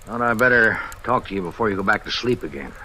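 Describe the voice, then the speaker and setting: Deep-voiced, soothing bedside man. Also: lively intonation, fast talker, bright, forceful tone. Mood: calm, coaxing. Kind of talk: 1940s-50s radio drama acting. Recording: faintly noisy vintage radio recording.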